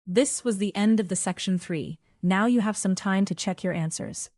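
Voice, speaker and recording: A woman reading exam instructions in a formal, measured way. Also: mid-range voice, a little mellow, dry recording, clean studio sound. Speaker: test narrator.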